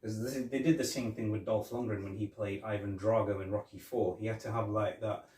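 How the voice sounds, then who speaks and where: A man's voice: on the low side, fairly even intonation, brisk, darkish tone, far from the mic. A man co-hosting a casual movie review podcast. He rambles, restarting and repeating his words. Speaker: podcast co-host. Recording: echoey room, off-mic.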